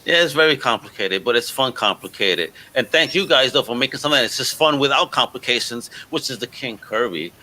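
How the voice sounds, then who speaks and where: A man hosts a casual interview show with a bright, punchy voice. He is effusive and slangy, full of upbeat encouragement for whoever he is talking to. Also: medium pitch, lively intonation, a little fast, hardly any pauses. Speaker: podcast host. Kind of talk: casual video podcast interview.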